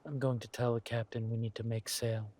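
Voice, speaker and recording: A man playing a suave, ruthless villain lord in an audio drama, mock-polite and menacing, with a husky voice and drawn-out delivery. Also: medium pitch, level tone, darkish tone, close to an everyday voice. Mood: tender, assured.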